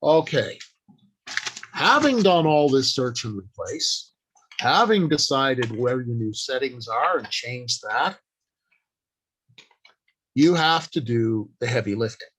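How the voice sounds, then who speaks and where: A man giving an instructional talk as a workshop speaker, deliberate and emphatic, speaking in short declarative phrases with pauses. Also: medium pitch, big pitch swings, fairly bright tone, slightly rough, poor audio.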